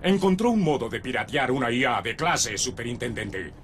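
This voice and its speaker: An actor playing a ruthless, smug official in a Latin American Spanish audio drama, menacing and condescending. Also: male voice, mid-range voice, animated, moderate pace, a little hiss or hum, close to an everyday voice. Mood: cold, menacing. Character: ruthless, smug, murderous male official fleeing a doomed city. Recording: voice over loud background ambience.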